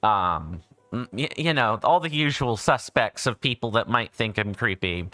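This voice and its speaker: A man's voice: fairly low pitch, lively intonation, normal speed, bright, forceful tone, no room sound, faint music behind. A man, just woken up, chatting casually as a game stream host, rambling with frequent self-corrections. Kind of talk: casual livestream chat.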